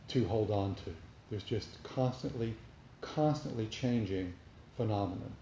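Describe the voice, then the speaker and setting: Low, mellow man speaking in an echoey room. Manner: deliberate, pausing between short phrases. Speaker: meditation teacher giving a dharma talk. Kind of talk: reflective spiritual talk to a group. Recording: roomy echo, faint background noise.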